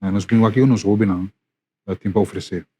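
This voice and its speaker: A man's voice: lowish voice, slightly rough. A man hosting a live morning radio show, chatting casually with many fillers and repeats. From Curaçao. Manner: lively, repetitive, halting with fillers.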